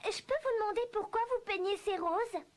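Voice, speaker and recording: A woman's voice: very high voice, fairly quick, a little hiss or hum. A woman voicing a polite, outspoken and earnest little girl in a French cartoon dub. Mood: bored, curious. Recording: music clearly behind the voice.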